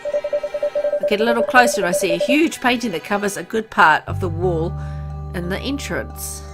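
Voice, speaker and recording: A woman's voice: medium pitch, moderate pace, over loud music, natural voice. A woman voicing a brooding, suspicious father who narrates his wandering in a visual novel with a hesitant manner. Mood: wondering.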